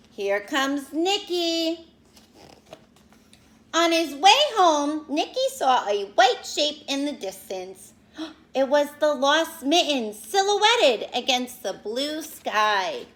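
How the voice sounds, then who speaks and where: A woman teacher doing an expressive read-aloud for young children, speaking to them directly and explaining as she goes. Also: high-pitched, slow. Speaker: elementary school teacher reading aloud to pupils.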